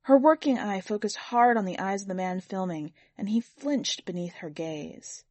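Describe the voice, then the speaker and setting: Woman, mid-pitched voice, scripted short story narration, dry close recording. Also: moderate pace, no room sound. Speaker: podcast editor and story reader.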